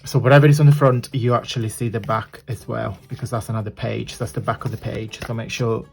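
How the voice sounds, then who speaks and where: A man with a croaky voice hosting a casual craft tutorial, chatting and apologizing as he works with his hands at a desk. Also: mid-range voice, flat delivery, fairly quick, a little mellow, soft background music.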